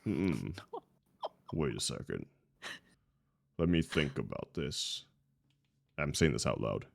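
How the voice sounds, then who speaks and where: A man's voice: a bass voice, animated, takes it slowly, vocal fry. A man playing a tabletop game, talking playfully and slipping in and out of character.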